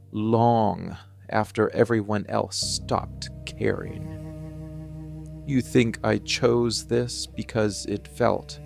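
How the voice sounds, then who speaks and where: A man playing a cold, embittered dark-fantasy villain in an ASMR monologue, speaking deliberately with heavy pauses. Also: lowish voice, vocal fry, heavily acted.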